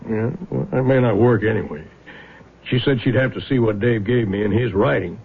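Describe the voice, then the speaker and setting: A stubborn, crafty middle-aged American father in a 1970s radio drama. His voice is husky, creaky and indignant, with a dry delivery. The vintage recording sounds muffled. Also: lowish voice, moderate pace.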